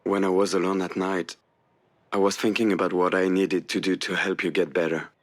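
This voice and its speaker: A guarded man in a visual novel drama. His voice sits low, and he talks haltingly and defensively. He is protective and self-effacing, keeping his own pain held back. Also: little pitch movement. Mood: subdued, reassuring. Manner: hesitant, defensive.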